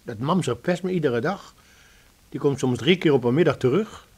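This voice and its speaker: A weary, wry neighbor. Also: male voice, fairly high pitch, normal speed, slightly rough. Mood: resigned. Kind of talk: radio drama acting.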